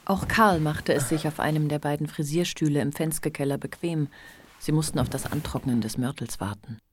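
A man narrating a radio play in a measured, literary, scripted style. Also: fairly high pitch, slight background noise.

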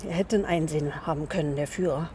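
A brusque yet sympathetic prison warder, played by a woman, with a lowish female voice. She speaks in terse, plain phrases in a realistic radio play, over noticeable ambient noise. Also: fast, warm tone, close to an everyday voice. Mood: subdued, sympathetic. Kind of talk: naturalistic German radio drama.

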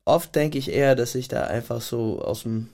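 A man from the Puget Sound area with an American accent, a radio guest speaking German as a second language, pausing often to think and restarting phrases. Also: medium pitch, darkish tone. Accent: American English. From Puget Sound region, USA. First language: not German. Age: adult, past university. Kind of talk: casual interview talk. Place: radio studio. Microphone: studio microphone.

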